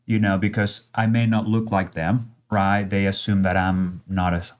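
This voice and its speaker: Male educator guest, accented English, lowish voice, earnest and encouraging. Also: little pitch movement, moderate pace. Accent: non-native accent in English. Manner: earnest, encouraging, often checks in with "right?". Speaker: college language instructor guest. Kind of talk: conversational interview.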